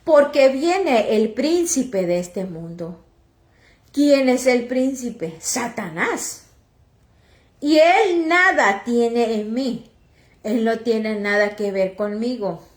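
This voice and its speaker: A woman from Oaxaca whose first language is Chatino, slowly reading scripture and preaching, with halting restarts and self-corrections as she translates. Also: fairly high pitch, expressive, roomy sound. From Oaxaca, Mexico. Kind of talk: slow Bible reading and sermon, translated into Chatino.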